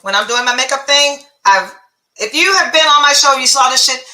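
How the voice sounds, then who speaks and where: A woman hosts her own podcast and talks straight to the listener in a blunt, profane, animated way. Her voice sits a little high and has a bright, forceful edge, with a congested, phlegmy sound in her throat. The room around her has a noticeable echo. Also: brisk. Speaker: podcast host. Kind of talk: casual storytelling monologue.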